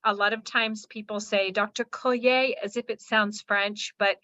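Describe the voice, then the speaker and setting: A woman's voice: mid-range voice, steady tone, normal speed, pushed and bright. A woman from Texas speaking as a guest presenter, chatty and folksy, in an informal online webinar. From Texas, United States. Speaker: guest speaker, therapist and educator. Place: online video call. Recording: slightly dull video-call audio.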